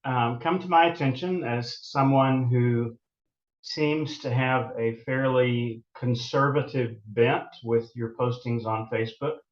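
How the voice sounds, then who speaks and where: A man's voice: medium pitch, measured pace. A man hosting an interview, courteous and complimentary, sometimes restarting his words. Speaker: interview host. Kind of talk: polite interviewer chat. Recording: echoey room, dull lo-fi sound.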